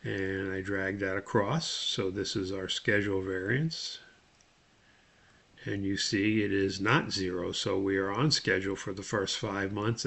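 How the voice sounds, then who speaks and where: Slow, creaky-voiced male instructor. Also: on the low side, fairly bright tone. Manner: methodical, step-by-step explaining. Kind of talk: spoken screen-share tutorial.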